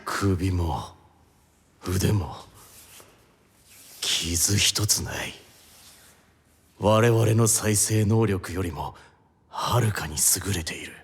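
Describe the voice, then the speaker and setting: An actor playing a cold, commanding villain doctor in an audio drama, speaking slowly with imperious menace. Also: male voice, deep voice, lively intonation, husky, full character voice. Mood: quiet command. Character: cold, commanding male villain doctor with inhuman healing powers.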